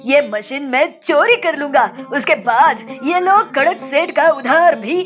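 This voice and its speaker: Jealous crow villain, voiced by a woman: raised high pitch, gloating schemer, cartoon story with prominent music. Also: animated, measured pace, fairly bright tone. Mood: jealous, plotting. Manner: plotting, gloating. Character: scheming jealous male crow food seller. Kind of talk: children's cartoon story dubbing.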